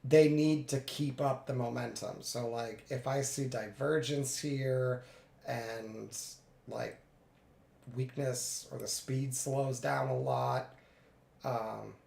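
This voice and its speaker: A man getting over a cold, a little congested, narrating his live trades in a relaxed way with many fillers. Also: medium pitch, vocal fry, echoey room. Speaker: futures day trader streaming live. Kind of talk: live trading commentary.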